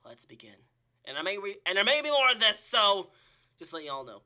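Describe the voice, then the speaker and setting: A man hosts a casual reaction video in a husky voice that still comes across very bright and forward. He sounds under the weather and sits fairly high in pitch. His talk rambles and breaks into exclamations. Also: big pitch swings, brisk. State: sick with a cold or similar illness. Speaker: host of a reaction channel.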